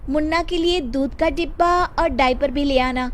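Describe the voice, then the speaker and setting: A woman voicing a polite young working daughter-in-law in a cartoon, expressive and pleading. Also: high-pitched, normal speed, slight background noise, lightly acted. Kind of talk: animated cartoon voice acting.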